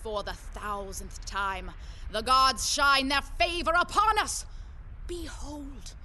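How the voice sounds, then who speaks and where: A woman's voice: high voice, slow pace, sharp tone, heavily acted. Imperious lava queen, vast echoing hall. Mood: exultant. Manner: grandiose, declamatory, archaic ritual speech. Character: imperious Lava Queen, fierce ceremonial ruler. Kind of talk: radio drama acting. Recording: long echoing hall reverb, faint noise.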